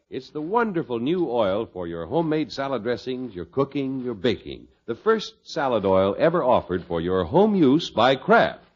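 A man's voice: mid-range voice, slightly rough. An American man announcing and reading scripted ads in an old-time radio style. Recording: muffled vintage radio, faint music.

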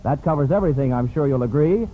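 A man's voice: a little high, animated, quick pace, a little hiss or hum. An American man announcing a 1940s radio show in playful, rhyming hipster patter. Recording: narrow-band vintage radio recording, music behind.

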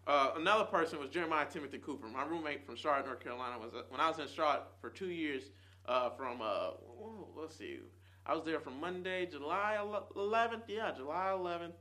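A man in his twenties talking casually and earnestly to his viewers, rambling and swinging between loud bursts and quiet trailing asides. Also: fairly high pitch, a little fast. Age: 20s. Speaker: vlogger. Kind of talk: casual chat to camera.

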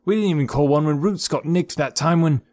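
A man's voice: on the high side, fairly quick, a little mellow, no room sound, studio quality, pitched above the performer's own voice, natural voice. A man voicing a cheeky, dismissive young man of the forest. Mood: scoffing. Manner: scoffing, grumbling delivery.

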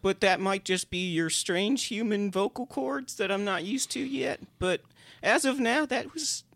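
A man's voice: high-pitched, a little slow, gravelly, fairly bright tone, dry recording, full character voice. A man plays an eager little underworld creature producing a comedy podcast. He stammers, gets flustered and apologizes too much, all in a close, dry recording. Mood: eager, encouraging. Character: eager, apologetic male podcast producer from hell, not human. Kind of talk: scripted comedy banter.

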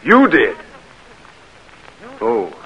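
An actor playing a stern, dutiful Confederate captain in an old radio drama. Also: male voice, medium pitch, huge pitch swings, very slow, breathy edge, full character voice. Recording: dull, noisy vintage radio recording.

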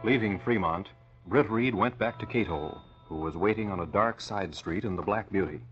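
A man's voice: on the low side, moderate pace, over music. A man announcing and narrating in a dramatic, declamatory 1940s radio style. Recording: muffled old radio recording.

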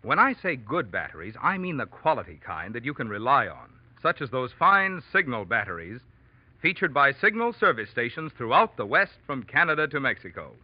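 A man's voice: medium pitch, sing-song, brisk, dry recording. An American man announcing on 1940s radio, reading scripted sponsor copy in a polished, emphatic manner. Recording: dull old radio recording.

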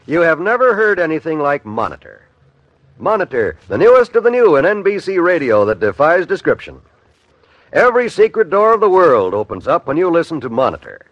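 An American man announces in scripted 1950s radio style, his fairly high voice lively in pitch. The old recording is dry and dull, with faint music behind. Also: no room sound.

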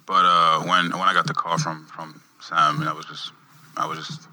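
A young man from Montreal whose first language is French, answering interview questions in English in a soft-spoken, halting way, often repeating words as he looks for them. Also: extremely low pitch, level tone. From Montreal, Canada. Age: young adult. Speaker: guest athlete.

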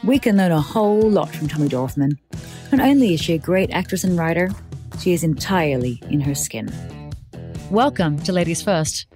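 A woman hosting an interview podcast, animated and teasing as she talks with her guest. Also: lowish voice, moderate pace, faint music behind. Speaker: podcast host.

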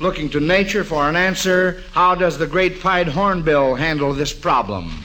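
Bright, high-pitched American radio quizmaster man. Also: normal speed, low-quality recording. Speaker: quiz show host. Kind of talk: mid-century radio quizmastering. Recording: vintage radio recording, faint noise.

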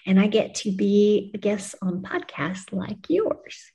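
A woman's voice: mid-range voice, sing-song, slow, warm tone, rough recording. A woman from New Mexico, a podcast guest, chatting casually with frequent ums and laughter. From New Mexico, USA. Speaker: podcast guest, music publicist. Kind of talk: casual conversational interview.

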